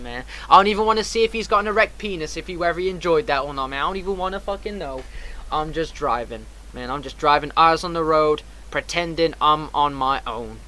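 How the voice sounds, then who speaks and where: A man with a fairly high-pitched, forceful, bright voice tells a casual anecdote. He speaks like a streamer recounting a tale to viewers, swearing freely and leaning hard on slang and emphasis. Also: a little hiss or hum.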